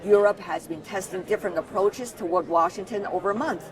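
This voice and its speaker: A woman's voice: medium pitch, a little fast, distant mic, crowd noise. A woman news host delivering scripted narration in a news report.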